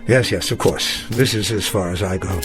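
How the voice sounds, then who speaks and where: A man's voice: low voice, moderate pace, over music, close to an everyday voice. An older man acting in a radio drama as a weary old professor full of regret, speaking in a formal, literary way. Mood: gentle, resigned. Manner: formal, literary, reflective speech.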